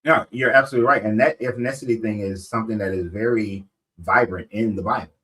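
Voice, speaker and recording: A man talking in a casual discussion. He reasons aloud in a measured way, checking in with rhetorical questions. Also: lowish voice, sharp tone, rough recording.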